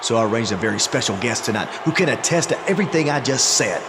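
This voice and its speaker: A man's voice: mid-range voice, expressive, fast talker, lightly acted. An actor playing an arrogant, scheming wrestler, a man giving a goading, theatrical promo to an arena in a wrestling game. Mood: smug, goading. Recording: echoey arena, clear background noise.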